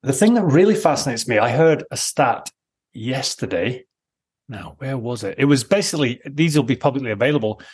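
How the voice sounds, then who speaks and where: Husky man around fifty, musing. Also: mid-range voice, lively intonation, fairly quick, a little mellow. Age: late 40s to early 50s. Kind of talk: casual conversation.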